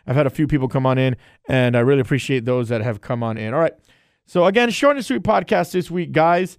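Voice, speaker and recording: A man's voice: mid-range voice, expressive, fairly quick, clean tone, no echo, clean studio sound. A man hosting a casual solo podcast and chatting in a loose, rambling, friendly way. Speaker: podcast host and streamer.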